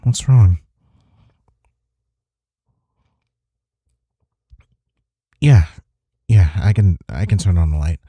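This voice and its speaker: A man acting in an intimate audio roleplay as a gruff but caring office rival, speaking in a husky, soft, reassuring way. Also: low voice, animated, moderate pace, no room sound. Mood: gentle concern.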